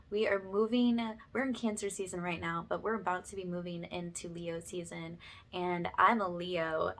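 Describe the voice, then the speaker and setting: A woman hosting a spiritual ASMR channel, speaking to her viewers in an earnest, affectionate and reassuring way. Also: on the low side.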